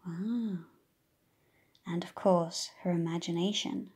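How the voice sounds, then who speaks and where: A woman's voice: fairly low pitch, steady tone, slow pace, a little mellow, slightly rough. A woman reading a children's story aloud expressively, with engaging, questioning asides like a teacher talking to young listeners. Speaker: audiobook reader for children.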